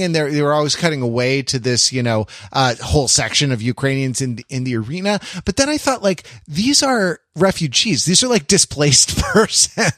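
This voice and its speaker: A man co-hosting a podcast, chatting casually in animated, rambling explanations. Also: fairly high pitch, no room sound, clean studio sound. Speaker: podcast co-host. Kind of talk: casual panel discussion.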